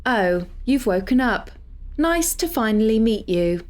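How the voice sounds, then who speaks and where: A woman's voice: on the high side, big pitch swings, measured pace, darkish tone. A woman playing a cheeky, chatty artificial intelligence in a sci-fi audio drama, with a breezy, teasing manner. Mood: friendly, teasing. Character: cheeky, chatty female artificial intelligence hacker sharing a body.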